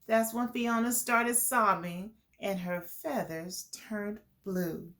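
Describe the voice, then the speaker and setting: A woman telling a children's story in an expressive, deliberate way, as host speaking to young listeners. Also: mid-range voice.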